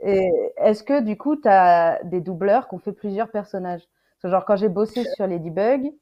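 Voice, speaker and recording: A woman's voice: mid-range voice, normal speed. A woman on a panel chatting casually in informal, slangy language over a video call. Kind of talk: casual chat. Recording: muffled video call audio.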